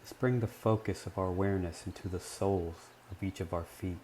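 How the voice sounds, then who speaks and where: A man leading a guided meditation, speaking gently and in measured short phrases with long pauses between them. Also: sits low, fairly even intonation, a little hiss or hum.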